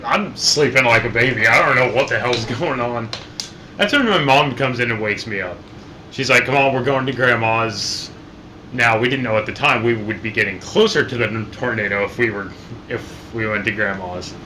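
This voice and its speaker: A man's voice: medium pitch, moderate pace, bright, forceful tone, a little hiss or hum, poor audio. A man talking in a casual, conversational storytelling way as co-host of a reaction video.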